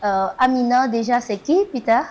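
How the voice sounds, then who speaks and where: Woman host, fairly high voice, hesitant, lots of fillers. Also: normal speed, a little mellow. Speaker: talk show host. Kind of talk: interview questions.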